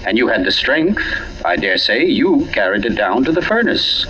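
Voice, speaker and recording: An actor playing an urbane, pompous gentleman writer turned sleuth in a 1940s radio mystery, teasing and deliberate in his delivery. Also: fairly low pitch, sing-song. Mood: accusing, relentless. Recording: dull lo-fi old radio broadcast.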